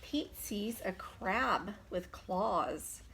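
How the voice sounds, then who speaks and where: A woman's voice: medium pitch, animated, very slow, creaky, fairly bright tone, a little hiss or hum. A woman preschool teacher reading a picture book aloud, expressively, putting simple questions to young children. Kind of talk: children's storytime read-aloud.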